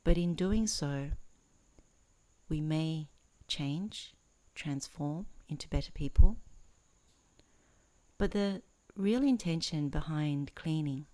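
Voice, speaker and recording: A woman teaching in a guided meditation, speaking in measured, earnest phrases with pauses between them. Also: deep voice, expressive, warm tone. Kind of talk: guided meditation introduction, Dhamma talk.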